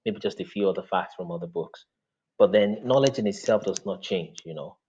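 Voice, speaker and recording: A man's voice: sits low, brisk, mellow, breathy edge, dull audio. A man whose first language is Yoruba, speaking earnestly as an interview guest over a video call, with frequent fillers.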